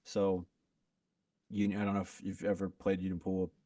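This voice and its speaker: Podcast guest: man, lowish voice, loose rambling chat, dry sound a bit off-mic. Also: normal speed, no room sound, far from the mic. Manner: relaxed, rambling, lots of "like" and restarts. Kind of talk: casual chat.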